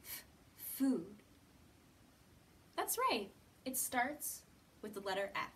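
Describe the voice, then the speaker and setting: A woman's voice: mid-range voice, lively intonation, takes it slowly, fairly bright tone. An American woman in her thirties leading a children's storytime lesson in simple, encouraging, instructional phrases. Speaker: children's librarian and storytime host. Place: library room, indoors. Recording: distant mic with background hiss.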